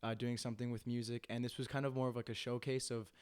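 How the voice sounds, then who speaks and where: A man's voice: mid-range voice, level tone, fast, darkish tone, clear, resonant tone. A young man, a band musician talking casually as an interview guest.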